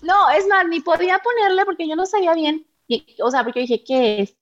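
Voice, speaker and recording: A woman's voice: very high-pitched, a little fast. A Mexican woman with a Mexican Spanish accent, chatting casually as a guest and laughing often through her stories. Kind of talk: casual conversation. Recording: dull lo-fi sound.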